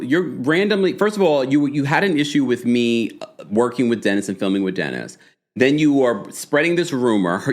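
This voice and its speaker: A man hosting a casual live recap of a reality show, gossipy and animated, with quick asides to his chat. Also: medium pitch.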